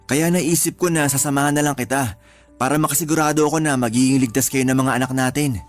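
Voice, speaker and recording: A man's voice: mid-range voice, fairly even intonation, quick pace, soft background music, close to an everyday voice. A man playing a protective, placating husband and father in a radio drama, speaking earnestly. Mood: placating, tender. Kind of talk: radio drama acting in dialogue.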